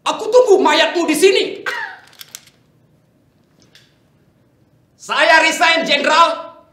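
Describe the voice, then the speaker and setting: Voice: very high voice, animated, moderate pace, pushed and bright, exaggerated voice. A performer playing a proud but deferential strategist to a general in a melodramatic stage reading. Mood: agitated. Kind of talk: theatrical dramatic reading. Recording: echoey distant room with noise.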